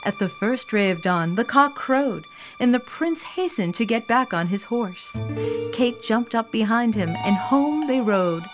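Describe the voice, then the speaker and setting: A woman with a fairly high voice narrates a fairy tale for young listeners. She works from a script as a storyteller. Music plays clearly underneath her. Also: expressive, unhurried.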